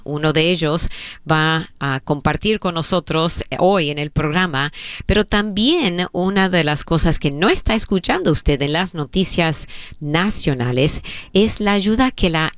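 Smooth low-voiced female radio host. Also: no room sound, studio quality. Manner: warm measured delivery with frequent fillers.

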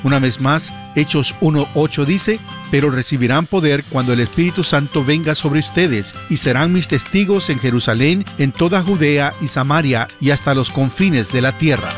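A man's voice: on the high side, moderate pace, over music. A man reciting a scripture passage in a solemn, scripted reading.

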